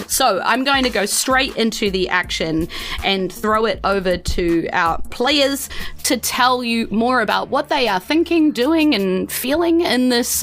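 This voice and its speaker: A woman with a New Zealand accent hosting a tabletop game podcast, chatty and playful as she narrates. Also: on the high side. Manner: playful, animated, giggly. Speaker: game master and podcast host. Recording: music behind the voice.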